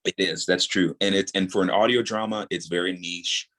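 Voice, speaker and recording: A man's voice: deep voice, steady tone, normal speed, a bit bright. A man in his thirties speaking as a guest in a casual interview, explaining his creative craft and repeating points for emphasis. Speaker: audio drama creator, podcast guest.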